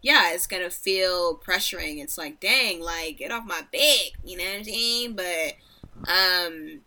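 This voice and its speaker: A young woman with a deep voice that still sounds bright and forceful, talking through ideas at an easy, thoughtful pace on a podcast. She breaks into loud laughs between points. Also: a little slow. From Nigerian background. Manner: reflective, animated laughter. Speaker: podcast co-host. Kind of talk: casual chat.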